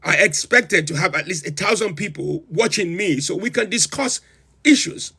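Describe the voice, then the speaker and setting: A man's voice: high-pitched, expressive, normal speed, vocal fry, sharp tone, slightly rough, exaggerated voice. A man playing a pompous self-styled president of a comic republic, who hosts a livestream and reels off long lists in theatrical disbelief. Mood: incredulous. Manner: incredulous, theatrical, lists items with rising emphasis. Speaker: livestream host. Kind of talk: comedic in-character livestream rant.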